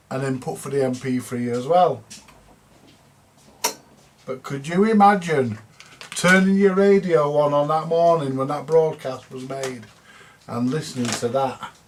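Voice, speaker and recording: A man's voice: fairly high pitch, a little hiss or hum. A man chatting casually and colloquially, rambling along as he hosts a repair video. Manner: colloquial, chatty, tag questions. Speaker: vintage radio repairer, video host. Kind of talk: casual rambling vlog chat.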